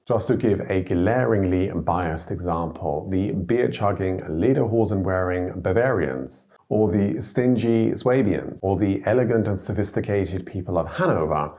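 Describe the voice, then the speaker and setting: Brit vlogger, deep and dry, wry delivery to camera. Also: male voice, darkish tone. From Britain. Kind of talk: scripted commentary to camera.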